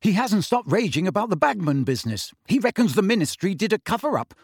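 A man's voice: high-pitched, sing-song, fast, dry recording, studio quality, pitched above the performer's own voice, full character voice. A man voicing a casual, matter-of-fact young wizard with an informal manner in an audiobook.

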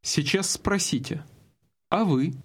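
A man's voice: a little high, slow. A man giving scripted instructions in a language course, prompting the listener through each phrase. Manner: clear, guiding prompts.

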